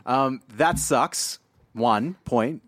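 A man co-hosting a podcast, laid-back and sardonic, often trailing off quietly. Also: medium pitch, extremely slow, dry recording. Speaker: podcast co-host. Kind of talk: casual podcast chat.

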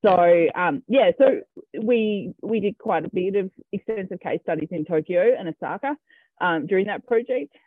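A man's voice: high voice, moderate pace, no room sound. An Australian man, a cattle farmer, answering interview questions in a hesitant way with many ums and restarts. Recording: tinny phone-line sound, dry.

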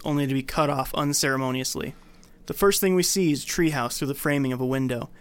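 A man's voice: mid-range voice, smooth. A man hosting a podcast, giving a scripted film recap with casual commentary. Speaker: podcast host.

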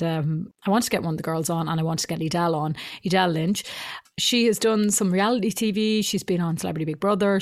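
A woman's voice: fairly low pitch, dry recording, studio quality. A woman with an Irish accent, a mix of Midlands and Dublin, hosting her podcast in a chatty, rambling way with frequent laughs. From Ireland. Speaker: podcast host. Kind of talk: casual podcast intro.

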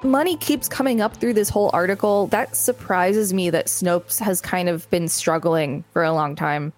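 A woman's voice: lowish voice, moderate pace, faint music behind. A woman co-hosting a podcast, reading articles aloud and chatting casually about them. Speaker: podcast co-host.

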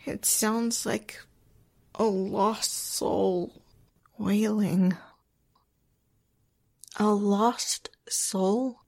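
An actress playing a jittery, irritable woman in a 1940s-style radio drama, theatrical and halting, with a breathy voice. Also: medium pitch, steady tone, darkish tone, exaggerated voice. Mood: nervous, spooked.

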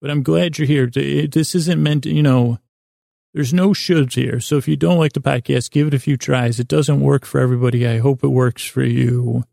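A man hosting a bedtime podcast in a rambling, whimsical monologue, meandering and stumbling over words, with a gravelly, creaky voice. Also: medium pitch, fairly even intonation, normal speed, mellow, no room sound, studio quality. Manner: silly, whimsical, soothing intent. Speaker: podcast host.

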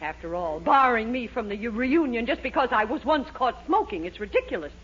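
A woman playing an indignant, imperious middle-aged mother with a husky voice, in 1940s radio comedy style. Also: a little high, very animated, brisk, a bit bright, heavily acted. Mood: indignant, determined. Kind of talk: 1940s radio sitcom acting. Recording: muffled 1940s radio, studio audience.

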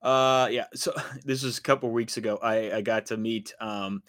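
A man's voice: mid-range voice, fairly even intonation, normal speed, fairly bright tone, no echo, studio quality. A man hosting a podcast, chatty and upbeat as he leads the casual talk. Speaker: podcast host. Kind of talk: casual chat.

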